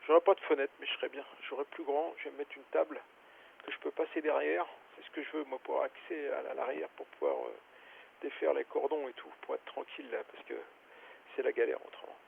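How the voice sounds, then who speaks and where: Very creaky man on hissy radio. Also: mid-range voice, lively intonation, fast talker. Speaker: amateur radio operator. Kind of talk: casual radio chat. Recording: hissy, tinny narrowband ham radio.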